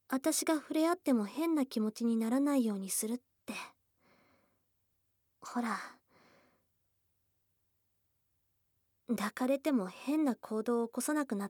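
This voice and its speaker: Woman doing a shy tomboyish goblin girl for asmr drama, breathy half-whisper, blunt but tender, super close dry mic. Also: a little high, dry recording. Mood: reassuring, apologetic. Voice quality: breathy, soft, often whispering. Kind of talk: intimate ASMR situation voice drama. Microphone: close, dry studio microphone.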